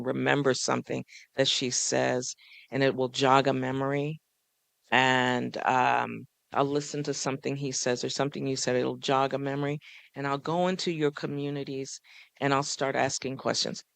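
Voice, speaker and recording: A man's voice: mid-range voice, gravelly, a bit bright, dry recording. A man, a folklorist, speaking to a live audience on a panel in a small café, earnest and coaxing, often putting questions to the crowd.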